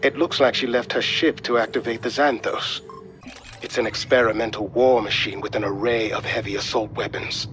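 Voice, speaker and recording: A man playing a calm, precise military intelligence adviser briefing an operative in a video game. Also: mid-range voice, normal speed, soft background music, lightly acted. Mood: measured briefing.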